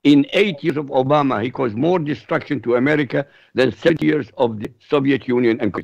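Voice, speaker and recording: Iraqi-born man, Arabic first language, blunt radio guest, very gravelly voice, dull dry sound. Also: medium pitch, fairly quick, a little mellow, no room sound. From Iraq. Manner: blunt and emphatic. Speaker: guest commentator on foreign affairs. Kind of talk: opinionated radio talk.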